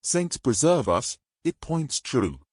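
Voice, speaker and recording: A bossy, roguish treasure-hungry man from a fantasy audio tale, acted by a man. He speaks in brisk, old-fashioned commands with a very animated delivery, recorded dry. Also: mid-range voice, slightly rough, no room sound, pitched above the performer's own voice, full character voice. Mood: excited, triumphant. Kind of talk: fantasy audio story character acting.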